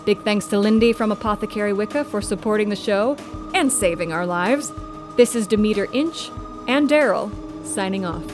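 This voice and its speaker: A woman's voice: mid-range voice, lively intonation, moderate pace, over music, natural voice. A woman playing the quirky, upbeat host of a witchy podcast in an audio drama. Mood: wry, upbeat.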